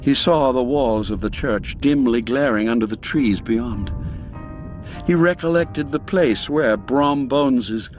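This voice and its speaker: A man narrating an audiobook as dramatic storytelling, with measured, deliberate, suspenseful phrasing. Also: mid-range voice. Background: music under the voice.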